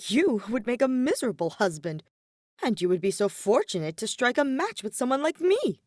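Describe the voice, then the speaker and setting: A woman's voice: on the high side, sing-song, normal speed, darkish tone, dry recording, clean studio sound, pitched above the performer's own voice. A woman voicing a proud, earnest young nobleman student with an indignant, formal, emphatic manner in an audiobook. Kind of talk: audiobook character acting.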